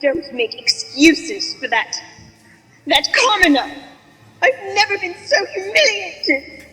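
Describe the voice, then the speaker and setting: An actress playing a vain, haughty society lady in a radio drama, shrill, indignant and melodramatic. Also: very animated, slow pace, sharp tone, big-room sound, faint music behind, full character voice, poor audio. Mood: shrill outrage.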